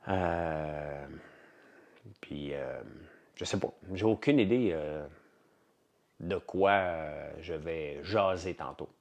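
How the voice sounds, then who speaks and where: A man speaks in a low voice with a strong Quebec French accent. He hosts an informal livestream, talking off the cuff to viewers. His delivery is colloquial and blunt, and he tends to ramble and repeat his phrases. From Quebec, Canada. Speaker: livestream host. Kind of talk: casual livestream chat with viewers.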